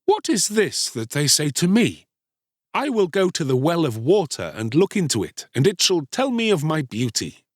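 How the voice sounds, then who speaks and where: A man's voice: a little high, wildly swooping pitch, moderate pace, dry recording, studio quality, voice pushed up. A man voicing a proud young boy in a storybook, with formal, old-fashioned speech. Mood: puzzled. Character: proud, scornful young boy, cruel then remorseful.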